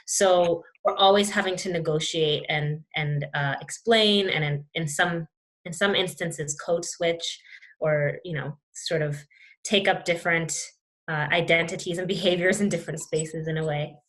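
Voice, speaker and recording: A young woman, speaking as an interview guest in a thoughtful, reflective way with many pauses and restarts. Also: fairly low pitch, fairly even intonation, a bit bright. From Canada. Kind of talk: reflective conversation.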